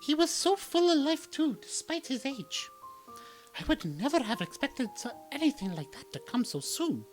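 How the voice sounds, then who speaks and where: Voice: sits high, very animated, normal speed, soft background music, pitched above the performer's own voice. A reader voicing a young noblewoman princess who speaks formally and emotionally. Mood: sorrowful.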